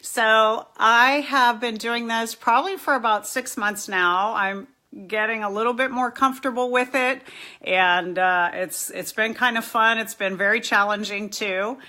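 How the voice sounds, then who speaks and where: Bright, chatty middle-aged woman host. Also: on the high side, fairly even intonation, a little slow. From New York and Miami. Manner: chatty, self-mocking, greets viewers by name. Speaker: therapist hosting a live stream. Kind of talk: casual live-stream chat.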